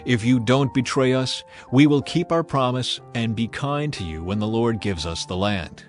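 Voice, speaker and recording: Guy doing a firm israelite spy, formal bible drama talk, mid pitch, light music. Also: moderate pace, natural voice. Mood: solemn. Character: firm, oath-bound young Israelite spies.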